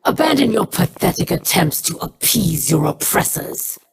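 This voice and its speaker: An actress playing a proud, defiant alien rebel leader in a video game, cold and commanding. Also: female voice, fairly low pitch, sing-song, moderate pace, heavy background noise.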